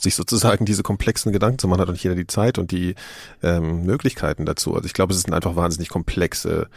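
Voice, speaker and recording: Male panelist, quick and gravelly, colloquial and engaged in casual discussion. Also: low-pitched, no room sound, studio quality.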